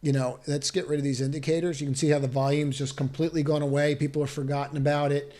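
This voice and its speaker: A man's voice: fairly high pitch, little pitch movement, fast, warm tone. Cautious man talking through stock charts. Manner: cautious, thinks aloud with frequent restarts and rhetorical "right?". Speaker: stock market analyst. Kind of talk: screen-share chart walkthrough.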